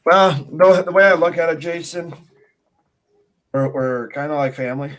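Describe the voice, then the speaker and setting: A man's voice: fairly high pitch, moderate pace, fairly bright tone. A man co-hosting a casual livestream chat with teasing, light-hearted banter. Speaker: co-host.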